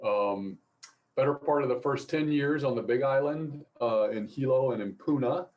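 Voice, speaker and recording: A middle-aged man from Appalachia speaking as a professor on a panel, explaining things in a measured way. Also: medium pitch, lo-fi sound. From Appalachia, mountains of North Carolina and Virginia. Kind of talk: informal panel talk and explanation.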